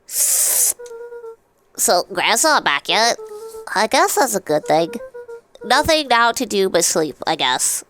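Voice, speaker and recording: An earnest young cartoon boy played by a woman, apologetic and explaining himself. The voice is bright and swings up and down like a game hero's. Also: on the high side, huge pitch swings, slow pace, pauses often, soft background music, pitched above the performer's own voice, full character voice, rough recording. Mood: resigned. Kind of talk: cartoon game dialogue read aloud.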